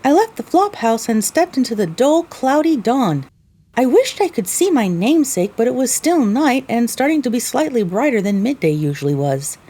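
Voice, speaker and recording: A woman's voice: on the high side, very animated, normal speed, mellow. A woman narrating a scripted first-person story.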